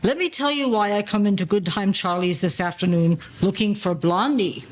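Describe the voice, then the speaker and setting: A man playing a good-natured crooked gangster of about fifty with a high voice, in a 1940s-style audio play. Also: normal speed, lightly acted. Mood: affable, sly. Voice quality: high-pitched. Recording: noisy recording with clicks.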